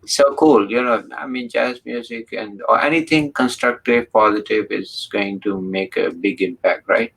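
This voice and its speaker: A man hosting an interview, speaking slowly and haltingly with many fillers. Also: lowish voice, fairly even intonation, creaky, fairly bright tone, husky, rough recording. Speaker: interview host. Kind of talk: casual interview talk.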